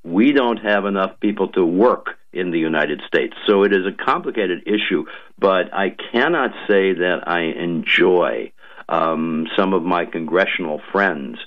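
A man, a lawmaker guest on a radio show, speaking slowly with many ums and uhs. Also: low-pitched, animated. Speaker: state legislator guest. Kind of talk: radio interview, policy talk. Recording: muffled lo-fi radio audio.

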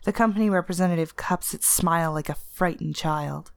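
A woman reading literary first-person fiction as narrator, with an intense, deliberate and expressive dramatic delivery. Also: on the low side, gravelly, dry recording. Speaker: story narrator.

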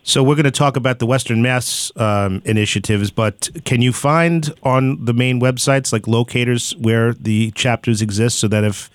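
A man's voice: medium pitch, steady tone, no room sound. A man hosting a radio interview, talking with many hesitations and "uh" fillers. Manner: hesitant, fills pauses with "uh". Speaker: radio host.

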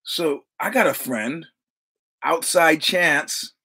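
A husky-voiced man in his sixties with a New York accent, spinning rambling stories in casual conversation. He swears freely and acts out the other people's dialogue as he goes. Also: a little high, slow pace, bright, forceful tone. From New York City. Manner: profane, rambling anecdotes with quoted dialogue. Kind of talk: casual storytelling.

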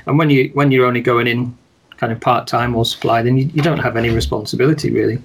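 A man talking as a guest in a casual chat, rather monotone, measured and hesitant with many restarts. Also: medium pitch, a little hiss or hum, poor audio. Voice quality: somewhat monotone. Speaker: guest, wedding photographer.

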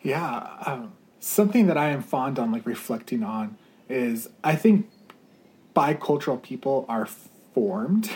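A young man with an ordinary middle-pitched voice talks thoughtfully about his life. He circles back and restarts often, choosing his words with care. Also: sing-song, unhurried, darkish tone. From United States. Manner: earnest, searching, many fillers and restarts. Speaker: campus ministry leader. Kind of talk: reflective interview answers.

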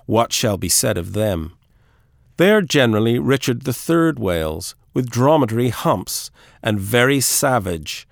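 A man's voice: mid-range voice, no echo, studio quality. A man reading a literary audiobook as a scripted narration.

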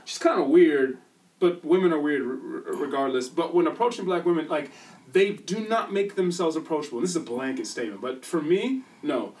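A man's voice: fairly high pitch, far from the mic. Young Midwestern man, casual, a bit off-mic. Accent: Midwestern American. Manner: laid-back, joking, drops to quiet asides. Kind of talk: casual chat.

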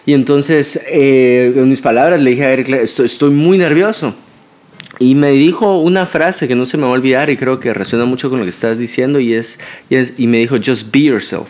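A young man chatting as a podcast guest and telling a story in an animated way, with frequent restarts and repeated words. His speaking pitch sits right in the middle for a man. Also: a little fast. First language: Spanish. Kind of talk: casual chat.

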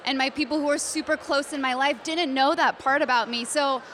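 Woman of Iranian heritage, earnest and candid; high, bright, slight hiss. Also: fairly even intonation, a little fast. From Iranian family. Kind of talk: personal story.

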